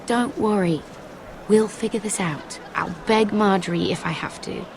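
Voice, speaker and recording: Earnest young handmaiden, noisy background actress. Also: female voice, medium pitch, normal speed, vocal fry, lightly acted. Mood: confiding, earnest. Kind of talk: dramatic game cutscene acting.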